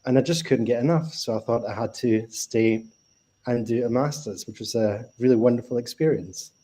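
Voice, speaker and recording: A man in his thirties from Germany, introducing himself as a student on a video call panel, relaxed with a few hesitations. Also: medium pitch, moderate pace, slightly rough. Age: 30s.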